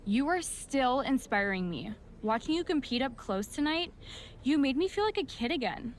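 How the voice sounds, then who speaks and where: A woman's voice: high-pitched, big pitch swings, moderate pace, slight background noise. A woman playing a young, admiring and independent-minded rookie wrestler in a video game cutscene, speaking earnestly. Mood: sincere.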